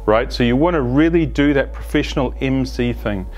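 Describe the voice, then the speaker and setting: A man's voice: medium pitch, normal speed, soft background music. A man in his fifties, an agile coach, gives emphatic tips straight to camera in a plain studio with a lapel mic. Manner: animated, emphatic, gestures a lot. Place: plain indoor studio, grey backdrop.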